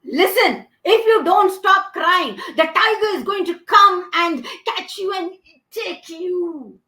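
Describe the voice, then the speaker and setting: Lady doing a stern mom in a folktale warning her kid, high voice, slow n emphatic. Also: huge pitch swings, pushed and bright, echoey room, exaggerated voice. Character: stern mother warning her crying son. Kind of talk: oral folktale telling.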